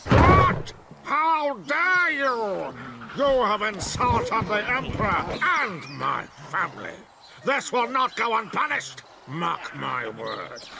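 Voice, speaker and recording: A man's voice: sits high, very animated, vocal fry, heavy background noise, heavily acted. A man voicing a haughty, scolding imperial envoy in a video game, pompous and disdainful. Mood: outraged. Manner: pompous, deliberate menace.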